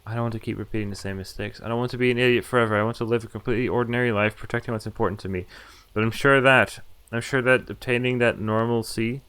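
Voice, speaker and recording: A man's voice: mid-range voice, steady tone, quick pace, hardly any pauses, a bit bright, smooth. A man streaming a visual novel, reading dialogue and narration aloud and casually acting out the lines. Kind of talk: live read-aloud of game dialogue and narration.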